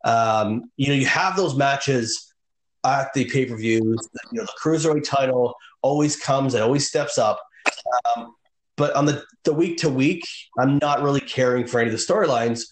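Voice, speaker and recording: A man's voice: mid-range voice, normal speed, echoey room, slight background noise, low-quality recording. A man co-hosting a casual wrestling podcast, rambling with lots of fillers and restarts. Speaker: podcast co-host. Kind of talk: casual chat.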